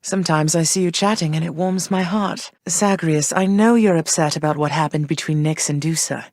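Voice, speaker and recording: A gentle goddess queen. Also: low voice, no echo, clean studio sound. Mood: tender. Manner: soft-spoken, measured, slightly formal. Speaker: voice actress for a video game character. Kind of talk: recorded game voice lines.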